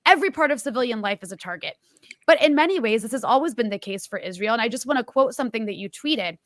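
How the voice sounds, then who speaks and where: A woman's voice: on the high side, animated, fast, no echo, studio quality. A woman in her thirties hosting an interview, talking in an emphatic, impassioned way. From United States. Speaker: host.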